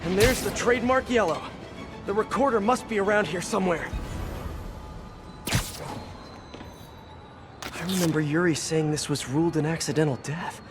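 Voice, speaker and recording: Young male superhero sleuth played by an actor for a game: lightish higher voice, earnest, musing, scored with music. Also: quick pace, natural voice. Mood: thoughtful. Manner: earnest, worried, musing aloud. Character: earnest, curious young male superhero detective thinking aloud. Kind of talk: video game voice acting, investigative monologue.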